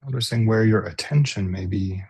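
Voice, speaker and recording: A man leading a guided body scan meditation as a teacher, speaking gently and unhurriedly with pauses. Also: lowish voice, slightly rough, no room sound.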